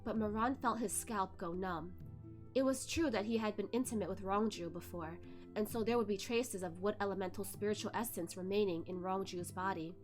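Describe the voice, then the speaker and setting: A woman's voice: mid-range voice, fairly quick. A woman reading a novel aloud as host, narrating expressively into a close microphone. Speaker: host of a novel read-along. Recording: faint music under the voice.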